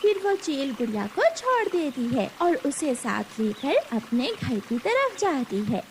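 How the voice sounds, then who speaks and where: A woman narrates a scripted animal tale for children. Her voice is strikingly high and moves through a wide, animated range of pitch. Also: a little slow, slight background noise. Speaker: story narrator.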